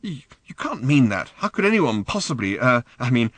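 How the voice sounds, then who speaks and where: A man's voice: fairly low pitch, expressive, a little fast, dry recording. A man reading an audiobook, voicing a mild, bewildered father who explains things hesitantly. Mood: pleading. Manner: hesitant, apologetic, gently explaining.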